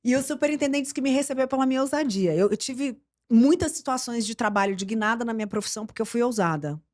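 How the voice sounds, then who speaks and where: A woman's voice: a little high, quick pace. A middle-aged woman from Brazil, a journalist and TV presenter, telling career stories in an interview and acting out the dialogue. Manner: lively storyteller, acts out quoted dialogue. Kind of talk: storytelling interview chat.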